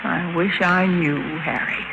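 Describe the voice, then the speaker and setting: Cool mysterious countess, crackly radio. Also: female voice, fairly low pitch, steady tone, a little slow, vocal fry, lo-fi sound. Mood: evasive. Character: mysterious, cool, steely femme fatale countess. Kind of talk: 1950s radio drama acting. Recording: noisy vintage 1950s radio recording.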